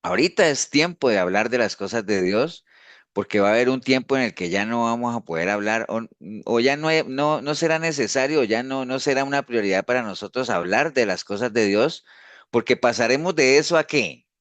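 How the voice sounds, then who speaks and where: A man's voice: medium pitch, expressive, a little fast, fairly bright tone, dry recording. A pastor giving an informal discipleship teaching, talking conversationally and often tagging his sentences with a questioning "no". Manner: conversational, frequent "¿no?" tags and restarts.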